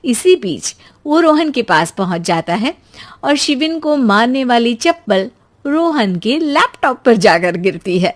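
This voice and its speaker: A woman's voice: fairly high pitch, big pitch swings, measured pace. A woman storyteller reading scripted narration for a comic cartoon story.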